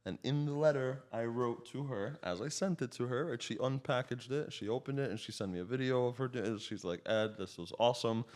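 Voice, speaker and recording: A man with an average-pitched voice talks as a podcast guest. He has a slight Slovak accent from his first language. His storytelling is casual and rambling, with tangents and self-interruptions. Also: fairly quick, hardly any pauses, a little mellow. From child of Slovak parents. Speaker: podcast guest, real estate agent.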